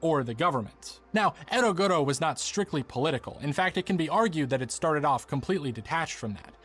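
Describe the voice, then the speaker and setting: A man's voice: on the high side, expressive, quick pace, fairly bright tone. A man delivering scripted documentary narration as the narrator of a video essay.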